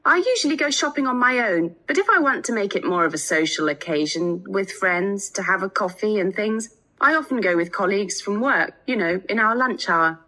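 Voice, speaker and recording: A woman's voice: medium pitch, expressive, fairly quick, sharp tone, rough recording. A woman playing a chatty, agreeable student in a scripted, conversational listening-test dialogue.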